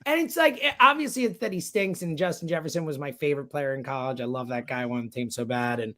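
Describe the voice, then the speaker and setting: A man's voice: a little high, quick pace, fairly bright tone. A man speaking casually and emphatically as a sports radio guest, full of slang and strong opinions. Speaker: sports radio producer and show host, guest.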